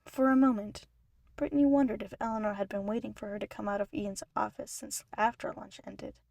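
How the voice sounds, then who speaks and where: A woman's voice: medium pitch, fairly even intonation, fairly quick, creaky, a little mellow, no room sound. A woman reading scripted fiction aloud as a narrator.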